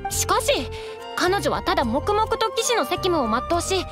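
An actress playing a polite, earnest young waitress in a Japanese game, kindly and measured in her speech. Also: very high-pitched, very animated, music in the background. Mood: earnest, gentle. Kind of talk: Japanese anime game voice acting.

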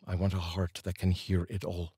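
A middle-aged man with a deep, heavily husky voice. He speaks earnestly and deliberately, in the measured manner of someone reading poetry to his viewers. The sound is dry and close, from a studio microphone in a small room. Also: monotone, mellow, no echo. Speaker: host and voice-over reader. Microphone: large studio microphone, up close.

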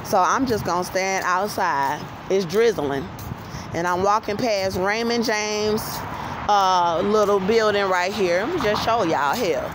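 An American woman speaks at a middle pitch in an insistent, emphatic monologue, circling back over her phrases. She is walking outdoors with a handheld phone, and clear street and traffic noise sits behind her voice. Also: measured pace. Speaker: vlogger. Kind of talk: livestream-style monologue to camera while walking. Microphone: handheld phone at arm's length. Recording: noisy phone recording on city street.

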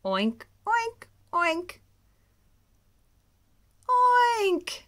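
A woman voicing eager little storybook pigs with loud, repeated oinks. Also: very high voice, big pitch swings, very slow, pitched above the performer's own voice, exaggerated voice. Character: squealing little pigs and their mother, oinking eagerly.

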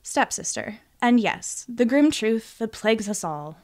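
A woman's voice: fairly high pitch, big pitch swings, unhurried, darkish tone, pitched above the performer's own voice. Wry young barista voiced by a woman. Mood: offhand. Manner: dry, offhand. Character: young female student barista, wry, casual, unimpressed.

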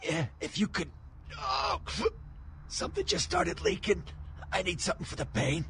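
An actor playing a badly beaten, bitter gangster ghoul in a video game, groaning in pain. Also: male voice, high voice, huge pitch swings, normal speed, gravelly, background noise, heavily acted. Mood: pleading. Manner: groaning, pained, gritty streetwise talk. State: in pain, straining.

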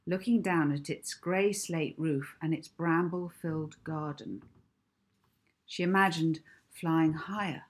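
Low, husky woman reading a story. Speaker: author reading own book. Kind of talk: children's audiobook narration. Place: small indoor room at home.

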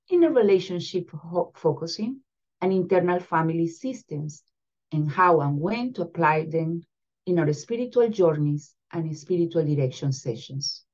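Middle-aged woman, Venezuelan Spanish accent, native Spanish speaker; mellow tone, careful, steady delivery; webcam sound, a bit muffled. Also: mid-range voice. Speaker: spiritual direction supervisor. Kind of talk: prepared introduction to a program. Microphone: video call webcam.

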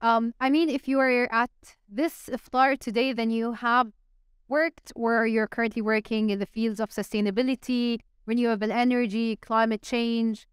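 A young woman moderating a live panel on stage with a handheld mic, engaging the audience with quick polls. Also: on the high side, flat delivery, clean tone, no echo, studio quality. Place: stage in a large event hall.

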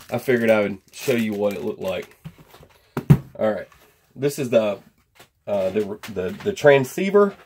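A man in his thirties with a low voice and plenty of creak. He talks informally, like someone showing gear at his own workbench, wandering from point to point in a folksy, unscripted way. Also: a little mellow. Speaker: host of a gear review channel. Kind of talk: casual product unboxing and review chat. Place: indoor home workshop.

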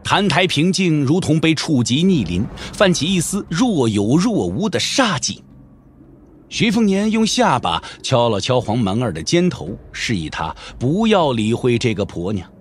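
A man's voice: mid-range voice, very animated, measured pace, slight background noise. A man narrating a Mandarin audio drama as a scripted story, theatrical and intense.

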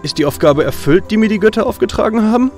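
An actor playing a polite, muscular young hero in a fan-made audio drama, earnest and courteous, over soft music. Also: male voice, high voice, animated, fast talker.

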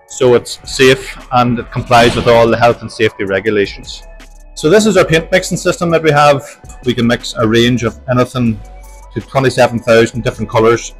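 A man's voice: medium pitch, poor audio. Middle-aged man, practical walk-through guide, plain explaining manner, faint music behind. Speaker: workshop manager giving a tour. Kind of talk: walking tour explanation. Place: large industrial workshop indoors.

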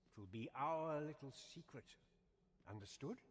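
Earnest older male scholar, fantasy game, highish lively pitch, courtly archaic delivery. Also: a little slow. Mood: solemn, secretive. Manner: formal, courtly, emphatic. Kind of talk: theatrical fantasy game acting with archaic phrasing.

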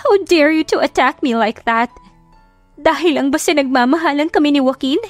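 Girl doing a snooty rich rival for a radio love story, fake sweet n mocking, bit high, mixes in english, music behind. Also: normal speed, dry recording, natural voice. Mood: wronged, pleading. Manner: coy taunting, code-switching into English. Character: smug, mocking, faux-sweet young rich woman rival. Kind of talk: audio drama storybook acting.

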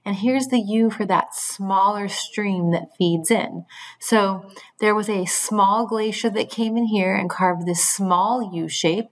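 A woman's voice: medium pitch, takes it slowly. A middle-aged woman teaching geology at a whiteboard, explaining step by step as she draws, with frequent fillers. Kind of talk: whiteboard lesson. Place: small room at a whiteboard. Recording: echoey room with faint noise.

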